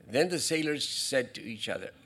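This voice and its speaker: A man of middle pitch preaches to his church, stopping and restarting as he goes. He breaks off now and then to speak straight to the congregation, and his voice sits right in the usual range for a man. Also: lively intonation, a bit bright, breathy edge. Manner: halting, with frequent restarts and asides to the congregation. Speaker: pastor. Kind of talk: sermon. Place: church sanctuary.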